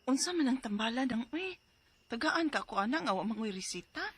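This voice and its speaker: A woman's voice: on the high side, expressive, brisk, a bit bright, husky. A woman acting an anxious, fussing middle-aged mother with a Cebuano accent in a radio drama, exclaiming as she goes. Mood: alarmed.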